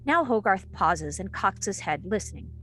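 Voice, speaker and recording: Woman narrator reading out script directions, medium pitch, faint music behind. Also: lively intonation, normal speed, sharp tone.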